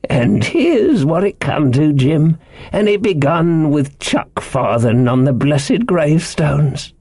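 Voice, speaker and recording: A man's voice: on the high side, expressive, takes it slowly, dark tone, husky, dry recording, clean studio sound, pitched above the performer's own voice, exaggerated voice. A man voicing a marooned, half-crazed sailor for an audiobook, childishly sly and pious, wheedling and rambling in rustic seafaring dialect. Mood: confiding, rambling. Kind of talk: audiobook character voice.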